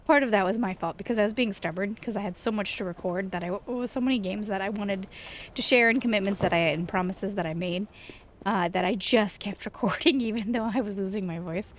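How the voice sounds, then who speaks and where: A woman hosting a gaming channel, chatting casually over gameplay in a slightly hoarse voice after a chest cold, rambling and self-deprecating. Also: mid-range voice, brisk, slight background noise.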